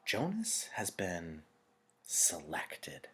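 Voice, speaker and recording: A man's voice: sits low, huge pitch swings, fairly bright tone, husky, exaggerated voice. A man voicing a gracious, commanding female chief elder who addresses a crowd formally in an audiobook reading. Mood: firm, commanding. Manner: formal, measured, solemn.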